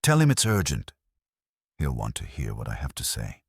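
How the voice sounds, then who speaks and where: A man's voice: rumbling low, wildly swooping pitch, moderate pace, gravelly, slightly rough, no echo, studio quality, exaggerated voice. A man voicing a desperate, defiant woman in her late thirties, urgent and commanding.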